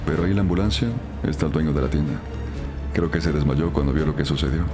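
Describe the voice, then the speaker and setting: A composed investigator, very low voice, quick and self-assured, music underneath. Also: male voice. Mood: composed, knowing. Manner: measured, explanatory, self-assured. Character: composed, knowing male police investigator. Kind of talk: radio drama acting.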